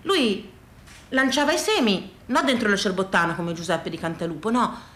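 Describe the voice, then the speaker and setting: A woman performing a comic storytelling monologue on stage, with rhetorical questions, repeated phrases and dramatic pauses. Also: medium pitch, animated, a bit bright. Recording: echoey room.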